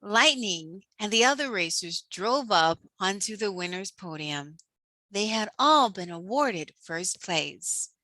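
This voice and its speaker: A woman narrating a children's story as its host, in an expressive, dramatic scripted style. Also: mid-range voice, takes it slowly, no room sound, poor audio.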